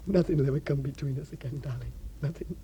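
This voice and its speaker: An actor playing a young coal miner, intense and stammering, moving from defiant shouts to broken whispers. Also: male voice, medium pitch, fast talker, noisy background, natural voice. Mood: distraught. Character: desperate young male coal miner, emotional. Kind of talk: film drama acting.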